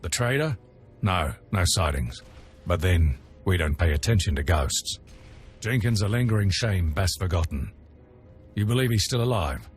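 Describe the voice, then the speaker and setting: An actor playing a proud, stern warrior camp commander in a video game, formal and curt. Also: male voice, sits low, animated, normal speed, long pauses, faint music behind. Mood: stern, dismissive.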